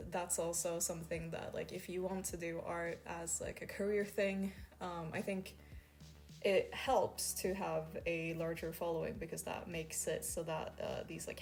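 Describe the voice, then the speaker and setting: A woman's voice: fairly low pitch, a little mellow. A young woman from Sweden with a Swedish accent, a freelance artist chatting casually to camera with lots of fillers and small laughs.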